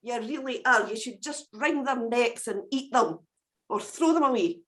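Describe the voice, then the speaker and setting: The scornful older brother from a Scottish folk tale, scolding slowly and firmly, as a woman storyteller puts him on. He sounds impatient and dismissive of soft hearts. The Scottish accent is broad. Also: on the high side, lightly acted.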